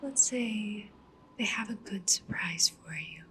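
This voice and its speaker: A woman playing a polite, discreet cyberpunk matchmaker in an ASMR roleplay, speaking gently and professionally in a breathy whisper. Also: mid-range voice, takes it slowly, a little hiss or hum. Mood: calm, businesslike. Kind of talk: soft-spoken ASMR roleplay.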